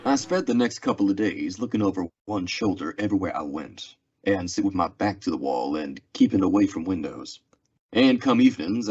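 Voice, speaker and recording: Man acting a deep, clear-voiced weary western marshal. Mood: tense, weary. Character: weary, stoic frontier marshal under threat. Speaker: actor in a radio western. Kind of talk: old-time radio drama acting and narration. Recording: dull, lo-fi audio.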